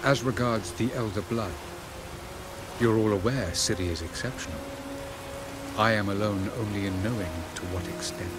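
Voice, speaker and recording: An actor playing a haughty, guarded ancient elf sage in a video game, speaking formally and with measured condescension. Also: male voice, fairly low pitch, noisy background, close to an everyday voice. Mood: aloof, evasive.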